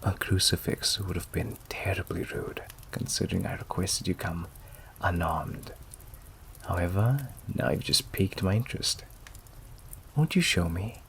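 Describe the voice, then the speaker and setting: A man's voice: low voice, lively intonation, measured pace, a bit bright, heavily acted, low-quality recording. A man playing a suave, possessive vampire in intimate ASMR roleplay, silky, teasing and theatrically polite, often dropping to a whisper. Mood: possessive, insistent. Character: suave possessive male vampire, menacing, seductive, courteous. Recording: clear background noise and ambience. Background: rain and fireplace ambience.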